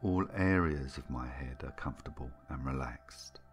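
Very deep-voiced man guiding a meditation. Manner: soothing, gentle, unhurried with long pauses. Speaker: hypnotherapist, meditation guide. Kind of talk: guided meditation narration. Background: background music.

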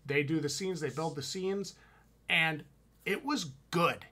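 A man's voice: on the high side, a little slow, pushed and bright. A man in his thirties with a Wisconsin accent, co-hosting a haunted house review podcast in an enthusiastic, emphatic, slangy way from a home room over a headset mic. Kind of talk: casual review chat. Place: home room with shelves, indoors. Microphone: headset with boom mic on video call.

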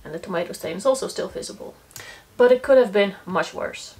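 A woman's voice: medium pitch, animated, brisk, darkish tone. A young woman demonstrating a laundry test on camera, talking casually and thinking aloud as she checks each item. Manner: matter-of-fact, thinking aloud while handling laundry. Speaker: eco-living vlogger. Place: small laundry corner indoors, sitting on the floor.

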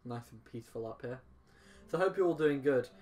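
A man's voice: medium pitch, animated, a little fast, a little mellow. A man doing casual gameplay commentary, sarcastic and exclaiming with mild swearing. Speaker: gaming YouTuber.

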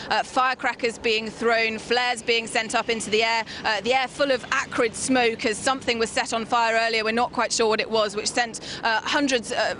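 Bright-voiced woman reporting live outdoors. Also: on the high side, slight background noise. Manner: fluent with frequent "uh" fillers and restarts. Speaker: field reporter. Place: outdoors on a city street at night. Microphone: handheld mic.

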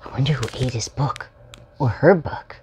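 A woman in her forties reading a children's picture book aloud as a storytime host, in simple, deliberately spaced phrases for preschoolers. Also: extremely low pitch, a little mellow. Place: small furnished room at home, indoors. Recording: home recording with faint background noise.

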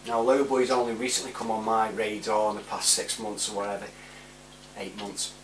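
Roomy-sounding British man, low-pitched. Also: level tone, normal speed, fairly bright tone. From United Kingdom. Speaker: vlogger. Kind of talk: casual chat to camera, giving shout-outs. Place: small room indoors at home. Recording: roomy, distant mic with faint hum.

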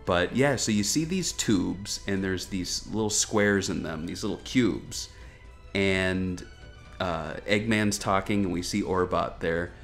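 A man's voice: sits low, slow pace. A man hosting a gaming news show, giving casual, wryly joking commentary on a video game trailer. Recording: music behind the voice. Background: background music.

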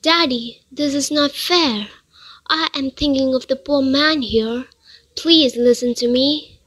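A woman's voice: high-pitched, slow pace, fairly bright tone. A young woman playing a kind, compassionate daughter in an amateur radio drama, with an earnest, polite manner. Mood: pleading. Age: young adult.

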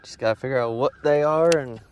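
A man's voice: medium pitch, quick pace, darkish tone. A young man vlogging casually to the camera about a fishing trip, talking easily to his viewers from a car and then a boat. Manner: easygoing, laid-back, talks to viewers. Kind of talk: casual vlog chat to camera. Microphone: phone held at arm's length. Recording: noisy outdoor phone recording.

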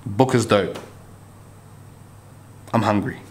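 A man's voice: lowish voice, slow. A man chats casually to camera, laid-back and slangy, often repeating his phrases. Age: 30s. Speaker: barber vlogger. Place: small bare barbershop room. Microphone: camera mic at arm's length or nearby. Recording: clear background noise, room ambience.

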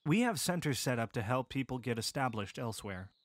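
A man voicing a calm, caring male medic soldier who speaks steadily, seriously and with compassion. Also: medium pitch, a little fast, no echo, lightly acted. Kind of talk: full-cast audiobook acting.

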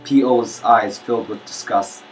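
A man's voice: fairly low pitch, steady tone, a little slow, soft background music, lightly acted. A man acting as a haunted, poor young father, narrating his bitter confession as a dramatic monologue. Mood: bleak, bitter. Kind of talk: first-person dramatic monologue narration with music.

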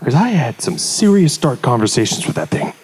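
A man's voice: mid-range voice, very animated, a little fast, darkish tone, close to an everyday voice. A man playing a goofy, hot-headed but sentimental astronaut in a live comedy cartoon read, with bro-ish slang. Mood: sincere, goofy. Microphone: handheld-style stand mic at table. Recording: live stage mic with background noise.

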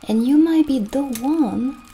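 A young woman playing a gentle, kindly witch shopkeeper in an ASMR roleplay, soft-spoken and soothing, slipping toward breathy near-whispers. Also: sits high, takes it slowly, a little hiss or hum, voice pushed up. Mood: calm, reassuring. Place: small indoor candlelit set.

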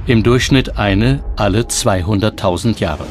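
A man's voice: on the low side, a little slow. Male documentary narrator over music. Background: background music.

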